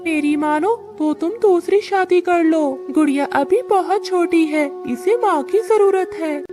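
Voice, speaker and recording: Cartoon parrot friend, woman performer: raised, shrill pitch, urging and insistent, music underneath. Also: a little slow. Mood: insistent, advising. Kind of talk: Hindi children's cartoon acting. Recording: music behind the voice.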